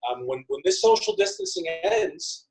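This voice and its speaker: A man's voice: on the high side, huge pitch swings, normal speed, vocal fry, low-quality recording. A man from a theater company giving conversational answers as an interview guest.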